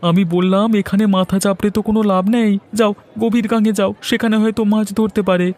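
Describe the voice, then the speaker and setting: A man's voice: sits high, flat delivery, brisk, a little mellow. A man voicing an earnest, sympathetic, plain-spoken village fisherman in a cartoon. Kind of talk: cartoon dialogue acting.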